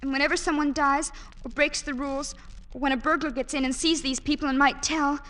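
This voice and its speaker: A frightened young woman held captive. Her voice sits high and she speaks softly and pleadingly. The recording has the sound of vintage radio. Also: fairly even intonation, brisk. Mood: hushed. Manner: soft, fearful and pleading. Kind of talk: old-time radio drama acting.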